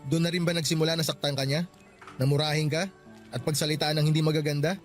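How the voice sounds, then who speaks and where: A man's voice: a little high, little pitch movement, fast talker, soft background music, lightly acted. An actor playing a protective, insistent young male office friend in a Filipino radio drama, with a Filipino accent. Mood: indignant, protective. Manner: insistent, questioning, emphatic.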